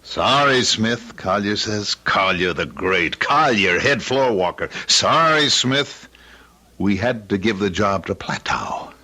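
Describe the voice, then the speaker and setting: A luckless young husband in a vintage radio play. His husky voice is bright and forceful, and it swings widely in pitch. He is theatrical, emphatic and easily worked up. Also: animated, slow, full character voice. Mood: bitter, exasperated. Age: young adult. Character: frustrated, luckless young husband, a struggling store clerk. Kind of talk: old-time radio drama acting. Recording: vintage radio drama recording.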